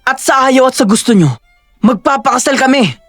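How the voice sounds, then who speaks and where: A man's voice: very high-pitched, lively intonation, a little fast, a bit bright, no room sound, soft background music, exaggerated voice. A man playing a devoted young rich heir who speaks with earnest resolve in an audio drama. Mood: defiant.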